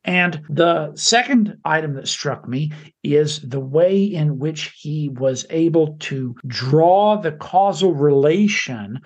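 A man co-hosting a podcast, reading an introduction in a measured, slightly halting way. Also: medium pitch, slightly rough. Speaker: podcast co-host.

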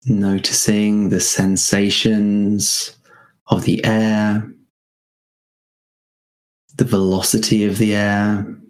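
A man guiding a meditation in a gentle, unhurried manner, leaving spacious pauses between short phrases. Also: on the low side, completely flat delivery, low-quality recording. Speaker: meditation guide. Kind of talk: guided meditation.

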